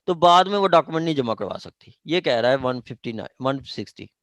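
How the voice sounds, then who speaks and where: A man with a Pakistani accent explaining law to a class informally and haltingly, often checking that they follow. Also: medium pitch, expressive, fairly bright tone, no room sound. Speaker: law lecturer. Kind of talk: informal classroom legal explanation with question and answer.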